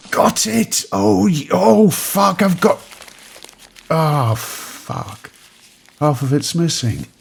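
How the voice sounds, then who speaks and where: An actor playing a rattled, wry man in an audio drama, musing haltingly and swearing freely. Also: mid-range voice, creaky, slight background noise, full character voice. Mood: excited, then frustrated. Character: rattled man who has crossed between eras, wry, sweary. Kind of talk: modern audio drama monologue.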